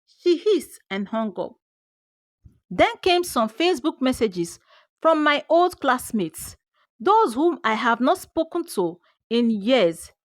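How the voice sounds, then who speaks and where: A woman with a Nigerian accent telling a scripted first-person story in an expressive, theatrical way. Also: high voice, slow, dry recording, studio quality. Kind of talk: dramatic first-person scripted folktale narration.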